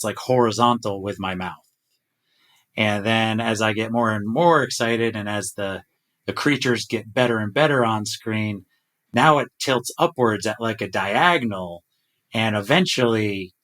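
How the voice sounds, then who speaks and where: A man who works as a polar expedition diver, chatting casually with colleagues in an excitable, animated way. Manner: excitable, animated, jumps up in pitch when enthusiastic. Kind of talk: casual group chat.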